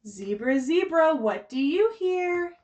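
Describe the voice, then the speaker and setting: A woman with a high voice leads a storytime for babies. She takes her time, shaping phrases in a sing-song, child-directed way. Her manner is encouraging and full of simple exclamations. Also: sing-song, slow pace, darkish tone. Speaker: children's librarian leading a baby storytime. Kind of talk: sing-song picture book read-aloud and coaching for babies and parents.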